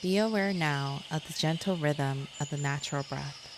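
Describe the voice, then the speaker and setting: A woman guiding a yoga nidra relaxation in a measured, soothing manner, as a scripted meditation. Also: deep voice. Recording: clear insect and nature noise behind.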